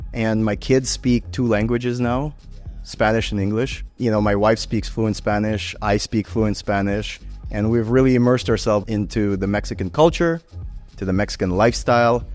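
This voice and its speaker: Relaxed man, Russian native, podcast co-host. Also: lowish voice, normal speed, soft background music. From Canada. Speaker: podcast co-host, real estate agent. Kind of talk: casual podcast chat.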